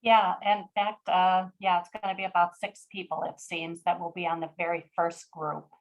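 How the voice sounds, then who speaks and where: A woman's voice: on the low side, little pitch movement, unhurried, dull audio. A woman with a Chicago American accent, speaking conversationally as a co-presenter. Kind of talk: conversational interview.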